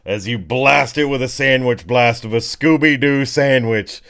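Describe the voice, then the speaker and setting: A man's voice: mid-range voice, a little slow, a bit bright. A man running a tabletop roleplaying game as game master, narrating casually with slangy descriptions and vocal sound effects.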